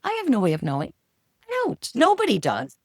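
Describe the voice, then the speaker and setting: A woman's voice: mid-range voice, wildly swooping pitch, breathy edge, dry recording. A woman author being interviewed on a podcast, chatty and emphatic as she answers. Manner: chatty and emphatic, quick agreements. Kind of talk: casual interview talk.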